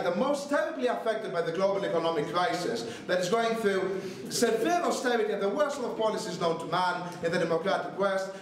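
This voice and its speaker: A young man from Greece giving a brisk, sarcastic competitive debate speech. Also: sits high. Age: young adult. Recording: echoey room, distant mic.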